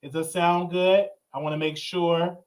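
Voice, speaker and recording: A man's voice: a little high, level tone, a little slow. A man hosting a live online interview show in a casual, conversational style. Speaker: show host.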